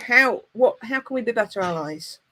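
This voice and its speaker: A woman's voice: fairly high pitch, expressive, normal speed, pushed and bright, a little hiss or hum. A woman hosting a live online interview, speaking passionately in emphatic rhetorical questions. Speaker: host. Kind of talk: live video interview, casual conversation.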